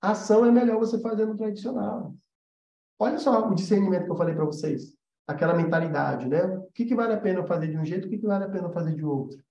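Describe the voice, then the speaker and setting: High-voiced Brazilian man teaching, roomy sound. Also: fast, warm tone. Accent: Brazilian Portuguese. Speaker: animation teacher and studio animator. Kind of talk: informal live-stream lesson. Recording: roomy echo, slightly dull sound.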